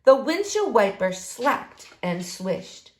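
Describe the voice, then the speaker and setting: A woman narrates a children's storybook with dramatic, deliberate phrasing. Her pitch moves around a lot and her pace is unhurried. The room adds a noticeable echo. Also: sing-song. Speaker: storybook reader. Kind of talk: expressive children's read-aloud narration. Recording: roomy, echoing room sound.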